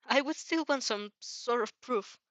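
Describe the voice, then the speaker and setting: A woman's voice: on the high side, measured pace, fairly bright tone, no echo, dull audio, voice pushed up. A woman voicing a kind, worried mother who is hesitant but caring. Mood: flustered, shaken.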